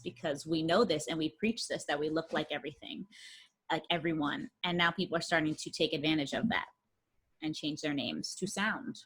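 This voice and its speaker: A woman's voice: sits low, a bit bright, slightly rough. A woman co-hosting a podcast, talking things through casually and thoughtfully, searching for her words. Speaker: podcast co-host. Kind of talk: casual chat.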